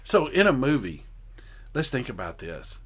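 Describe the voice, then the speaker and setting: A man's voice: mid-range voice, very animated, measured pace, a bit bright. A man co-hosting a podcast in casual comedic chat, with a jokey, incredulous manner. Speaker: podcast co-host.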